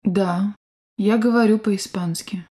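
A woman with a soft, mellow voice reads a language-course drill. She speaks slowly and articulates every word with care, like a studio lesson recording. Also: mid-range voice, fairly even intonation. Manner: slow, clear, carefully articulated.

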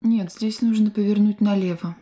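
Female course voice, soft dark tone, careful even delivery for drill prompts and answers. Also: medium pitch. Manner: clear, deliberate, evenly paced. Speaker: language course speaker. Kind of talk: scripted language drill reading.

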